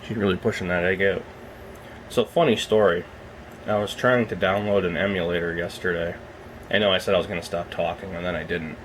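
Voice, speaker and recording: A young man with a very low voice, just out of bed, rambling softly in a casual vlog as he films his snake at home. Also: steady tone, a little fast, slight background noise. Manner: rambling, soft-spoken, keeps his voice down. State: just woke up. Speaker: reptile keeper filming his snake. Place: at home beside a reptile rack.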